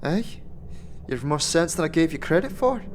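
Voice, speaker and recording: A woman's voice: deep voice, big pitch swings, moderate pace, slight background noise. A woman playing a brusque, streetwise young tiefling guide in a fantasy audio drama, with curt, slangy, sardonic delivery. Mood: impatient, wary.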